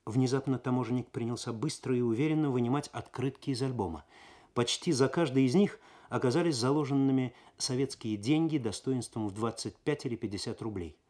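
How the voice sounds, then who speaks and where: A man reading a story aloud as dramatic 1970s radio narration, measured and expressive. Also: mid-range voice.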